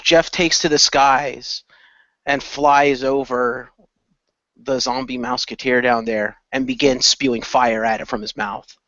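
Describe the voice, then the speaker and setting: Bright, forceful man, lo-fi radio play. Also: mid-range voice, steady tone, unhurried, no room sound. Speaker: player in fan radio play. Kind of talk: improvised narration and casual in-character dialogue. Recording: dull lo-fi voice recording.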